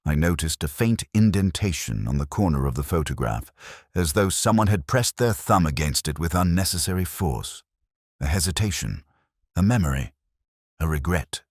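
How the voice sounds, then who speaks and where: A man playing a brooding, introspective older police detective, narrating a mystery in a measured, solemn, literary way. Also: very deep voice, dry recording, studio quality, full character voice. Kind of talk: scripted first-person mystery narration.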